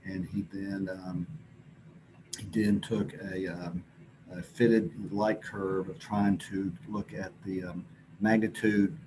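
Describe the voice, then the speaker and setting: Man, deep voice, informal research talk, hesitant pauses, clear background noise. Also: flat delivery. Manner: halting, hesitant explanation with pauses. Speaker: presenter at an astronomy symposium. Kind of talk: informal scientific slide presentation. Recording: clearly noisy recording with background ambience.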